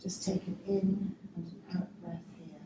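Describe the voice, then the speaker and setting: A woman teaching a guided yoga relaxation class, speaking gently and letting her phrases trail off softly. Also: lowish voice, little pitch movement. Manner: gentle, unhurried instructing with soft trailing phrases. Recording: distant mic, echoey room, faint noise.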